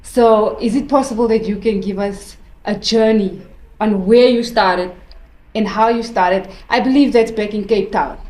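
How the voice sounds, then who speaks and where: A woman's voice: mid-range voice, a little slow, a little mellow. Woman interviewer in an echoey room. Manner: encouraging, short affirmations. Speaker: interviewer host. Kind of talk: casual interview.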